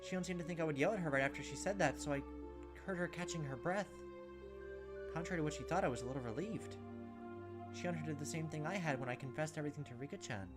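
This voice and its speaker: A young man hosts a let's play, reading visual novel text aloud and adding his own commentary. Game music plays clearly behind his voice. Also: a little high, fast. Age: young adult.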